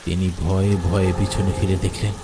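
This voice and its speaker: A man's voice: low-pitched, little pitch movement, normal speed, heavy background noise. A man narrating a scripted, dramatic story with music behind his voice.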